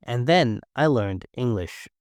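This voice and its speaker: A young man from China with a Chinese accent, whose first language is Mandarin, teaching English in an encouraging, clear and simple way. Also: mid-range voice, expressive, slow, a little mellow, no echo, clean studio sound. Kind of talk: scripted English-learning lesson.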